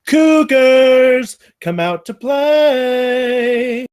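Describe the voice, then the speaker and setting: A man at a live table read playing a defiant underdog campus security guard, with slow, dramatic delivery. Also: very high-pitched, a little mellow, clean tone, heavily acted. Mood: taunting, sing-song.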